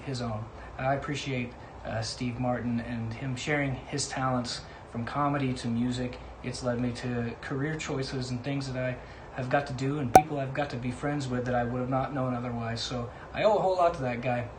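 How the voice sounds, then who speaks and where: A man giving a casual, personal testimonial as a guest contributor. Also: mid-range voice. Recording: echoey room with clear background noise.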